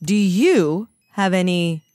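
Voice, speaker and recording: A woman's voice: fairly low pitch, expressive, takes it slowly, a little mellow, no room sound, studio quality. A woman playing a smug, teasing agent.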